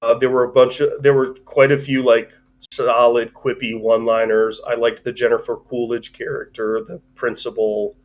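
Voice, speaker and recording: A man's voice: mid-range voice, little pitch movement, normal speed. A man hosting a casual movie review chat, giving opinionated but hedged takes with frequent digressions. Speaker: podcast host.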